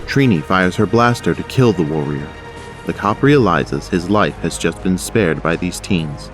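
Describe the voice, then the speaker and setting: A man's voice: low voice, expressive, a little slow, soft background music. A man narrating a scripted action audio drama.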